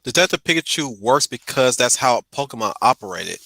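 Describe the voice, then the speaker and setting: A man's voice: mid-range voice, very animated, fairly quick, creaky, sharp tone, no room sound. A man chatting casually as a co-host on an online stream call. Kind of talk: casual chat.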